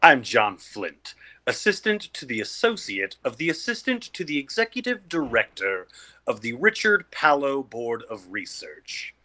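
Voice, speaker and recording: A man's voice: medium pitch, very animated, measured pace, sharp tone. A man acting a self-important, officious delivery messenger in a comedic play.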